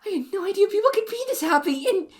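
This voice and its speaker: A woman voicing an obsessive, clingy young lover in an audio roleplay, speaking softly and pleadingly in a husky, whispery voice to the listener. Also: a bass voice, animated, normal speed, heavily acted. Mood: giddy overjoyed.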